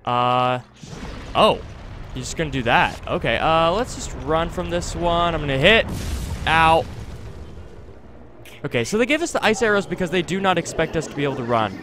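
A man's voice: fairly high pitch, animated, moderate pace, long pauses, background noise. A man giving casual gameplay commentary into a close desktop microphone, rambling as he talks himself through the action. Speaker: let's play host. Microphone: close desktop USB microphone. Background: game music.

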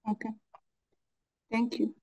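A woman's voice: slow, darkish tone. A woman speaking as a student in an online class, casually, over a video call. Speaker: student asking questions.

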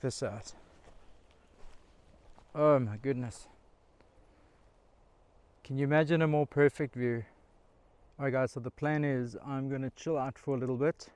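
Excited man camping in a forest. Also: medium pitch, smooth, no room sound. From Australia. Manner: enthusiastic, chatty, talks straight to camera. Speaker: camping vlogger. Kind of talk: casual solo camping vlog. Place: outdoors in a pine forest campsite. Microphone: camera mic, handheld selfie and tripod framing.

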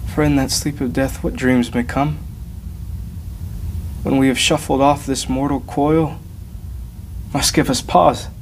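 A man performing a Shakespearean soliloquy as a brooding, anguished young prince, hushed and deliberate, with weighty pauses. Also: mid-range voice, steady tone, close to an everyday voice, poor audio. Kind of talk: Shakespearean stage monologue. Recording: faint background room noise.

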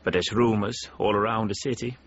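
A man's voice: fairly low pitch, steady tone, brisk, a bit bright, slightly rough, highs cut off. An actor playing a worldly, gossipy male informant in a radio drama. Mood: conspiratorial.

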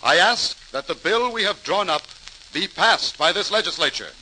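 An earnest male doctor fighting for public health in an old radio play. His voice is high and very sharp, his phrasing formal and urgent. Faint noise sits on the aged recording. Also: lively intonation, normal speed, exaggerated voice, poor audio. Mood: resolute. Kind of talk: 1940s radio drama acting.

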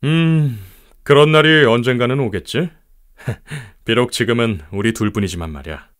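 A man's voice: mid-range voice, moderate pace, fairly bright tone, close to an everyday voice. Man acting an easygoing young computer builder. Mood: thoughtful. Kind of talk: children's audio storybook acting.

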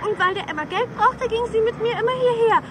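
Pert little girl, really high voice, bold and precocious, noisy old radio play. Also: fairly quick. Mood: pert, excited. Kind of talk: 1960s German radio play acting.

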